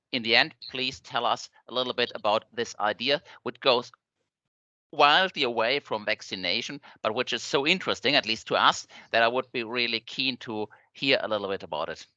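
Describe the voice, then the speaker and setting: Bright-voiced male interviewer, dry lo-fi. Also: mid-range voice, no room sound. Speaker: host, interviewer. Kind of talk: interview questions. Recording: dry lo-fi sound.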